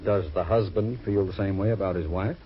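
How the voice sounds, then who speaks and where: An actor playing a droll, long-suffering waterfront priest in a 1940s radio drama, with a theatrical, complaining manner. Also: male voice, deep voice, fairly even intonation, fairly quick, slight background noise, natural voice. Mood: wryly droll. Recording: muffled old radio recording.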